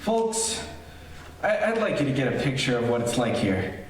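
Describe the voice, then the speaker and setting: A man playing a nervous radio reporter in a haunted house, halting and confiding in his listeners, in old-time radio horror style. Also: medium pitch, sing-song, natural voice. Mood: hushed, uneasy. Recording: echoey distant stage recording, faint noise.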